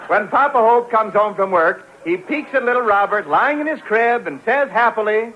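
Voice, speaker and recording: A male radio announcer with a high-set voice and a formal, polished 1940s delivery. He reads scripted copy, and the old broadcast recording sounds muffled. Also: animated, normal speed, very noisy.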